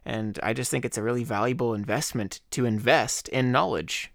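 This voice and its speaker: A man hosting his own podcast, talking casually in a slightly hoarse voice, rambling with fillers. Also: mid-range voice, moderate pace, no echo, studio quality. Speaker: podcast host. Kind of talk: casual solo podcast talk.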